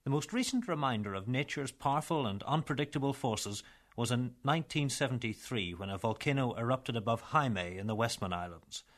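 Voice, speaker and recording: A man presenting a documentary, narrating in a measured, formal way and interviewing a local woman. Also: medium pitch, lively intonation.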